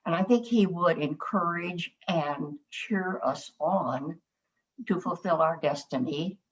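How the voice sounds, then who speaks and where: Low-voiced older woman on dull audio. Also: takes it slowly. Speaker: family member speaking at a memorial. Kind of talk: heartfelt memorial remarks.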